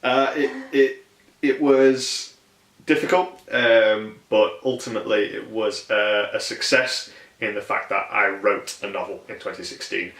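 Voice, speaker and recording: A man's voice: lowish voice, steady tone, measured pace, a bit bright. A man talking casually and informally as a writer being interviewed, with some swearing. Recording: echoey room.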